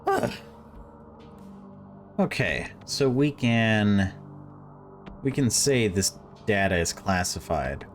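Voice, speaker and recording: A man's voice: fairly low pitch, big pitch swings, takes it slowly, long pauses, soft background music. A man giving casual playthrough commentary as a game streamer, informally thinking aloud.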